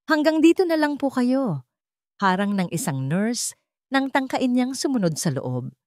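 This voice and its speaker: A woman's voice: sits low, a little mellow, dry recording, clean studio sound. A woman storyteller reading a scripted romantic drama as narration.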